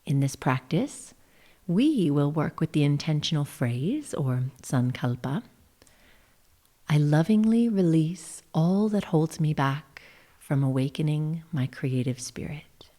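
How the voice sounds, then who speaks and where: Casting: female meditation guide, low and dark-toned, gentle and measured, phrase endings melting into whisper. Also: lively intonation. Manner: soothing, gentle, measured, often trailing into breathy whispers. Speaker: yoga nidra guide, session host.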